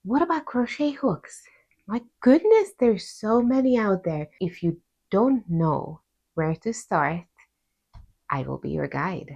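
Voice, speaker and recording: A woman's voice: medium pitch, lively intonation, slow, creaky, a little mellow, husky, lo-fi sound. A woman with a Hungarian accent, hosting a crochet tutorial in a chatty, friendly way with playful asides. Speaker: crochet teacher, channel host. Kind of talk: casual tutorial explanation.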